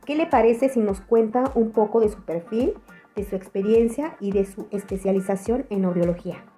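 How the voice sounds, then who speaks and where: A woman's voice: mid-range voice, normal speed, warm tone, soft background music. A woman hosting a podcast, introducing and questioning a guest in a polished, welcoming way. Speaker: podcast host. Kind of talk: hosting an interview.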